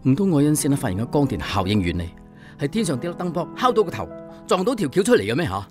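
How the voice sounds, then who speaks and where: Young inventor dad, idealistic, wounded pride, very varied intonation, radio drama. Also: male voice, a little high, very animated, quick pace, music in the background. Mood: indignant. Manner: indignant, self-pitying. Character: idealistic, frustrated inventor husband and father.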